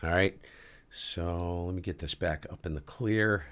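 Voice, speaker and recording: A man with a very low voice running a casual live ham radio demo, talking to himself as he adjusts settings. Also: a little slow. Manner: relaxed, talks to himself while adjusting gear. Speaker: ham radio livestream host.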